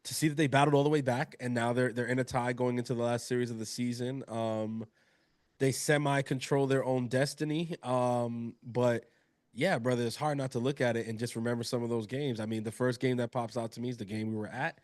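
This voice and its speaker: A man with a cold who sounds nasal, co-hosting a casual sports chat in a relaxed, conversational way. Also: mid-range voice, fairly quick. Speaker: podcast co-host.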